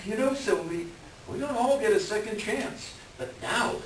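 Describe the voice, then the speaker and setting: A man playing a hapless middle-aged swindler doctor in a staged reading, pleading and self-deprecating, with a halting, wheedling delivery. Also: high-pitched, animated. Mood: earnest pleading. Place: echoey room, far from the mic. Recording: distant echoey room with hiss.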